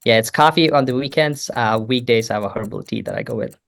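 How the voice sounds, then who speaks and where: A man from India, a product marketing guest on a podcast, speaking in a measured, steady way. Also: mid-range voice, creaky. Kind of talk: casual podcast chat.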